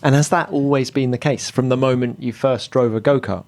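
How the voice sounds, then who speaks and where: Inquisitive male podcast interviewer, medium pitch. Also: steady tone, brisk, darkish tone. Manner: inquisitive, prompting with follow-up questions. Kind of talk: conversational interviewing.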